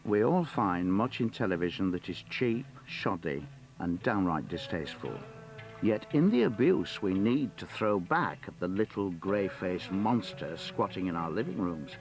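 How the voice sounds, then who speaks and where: A man reads an essay aloud in a low voice with lively, varied intonation. Faint music sits behind the reading. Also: measured pace. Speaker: reader of written criticism.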